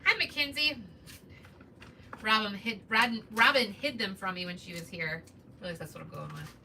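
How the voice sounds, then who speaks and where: A woman in her thirties with a soft, relaxing Midwestern voice, chatting casually with viewers during a crafting livestream. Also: medium pitch, moderate pace, gravelly. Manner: chatty, greets viewers while working. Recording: distant mic with background noise.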